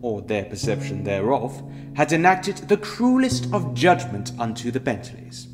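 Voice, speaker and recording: A solemn storyteller played by a man narrates a dark sci-fi audio drama. His mid-pitched voice moves expressively, with music clearly behind it. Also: very animated, a little slow. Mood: solemn, wry.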